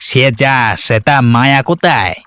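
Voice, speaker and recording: A man's voice: mid-range voice, lively intonation, measured pace, a bit bright, no room sound. A man voicing a friendly, generous village fisherman in a Bengali moral-tale cartoon, with a hearty, familiar, folksy delivery. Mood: chatty.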